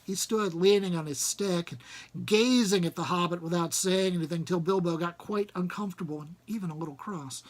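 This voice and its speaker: Expressive male storybook narrator. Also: high voice, normal speed. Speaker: audiobook reader.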